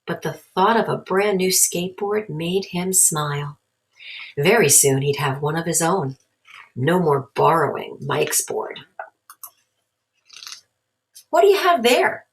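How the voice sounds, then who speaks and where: A woman's voice: low voice, a little slow, low-quality recording. A woman narrating a children's story aloud as a storybook reader.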